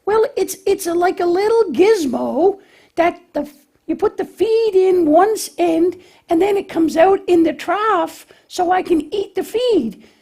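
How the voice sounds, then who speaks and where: A man's voice: very high-pitched, lively intonation, a little slow, warm tone, voice pushed up, full character voice. A man voicing a naive, eager, bumbling young lamb puppet in a children's show, with a hesitant, folksy, childlike way of explaining. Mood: sheepish explaining.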